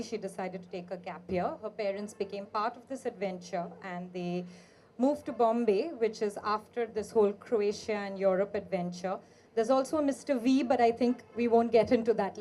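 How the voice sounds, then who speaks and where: Woman moderator at a book event, mid pitch, chatty summary, faint noise. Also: dark tone. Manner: brisk summarizing, chatty with uh and kind of. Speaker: interviewer and moderator. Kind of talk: onstage book interview.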